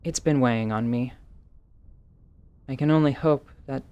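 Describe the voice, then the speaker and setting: A woman playing a world-weary winged tiefling warrior in an audio drama, with a dry, wry, deadpan manner. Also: very deep voice, normal speed, smooth, muffled recording. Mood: wry, confessional.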